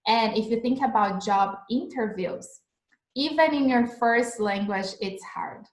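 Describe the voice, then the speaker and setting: A woman's voice: a little high, unhurried, reverberant, poor audio. A Brazilian woman whose first language is Portuguese, teaching English in a casual livestream lesson, often checking in with "right?" and "like". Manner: chatty, frequent "right?" and "like" checks. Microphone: phone livestream.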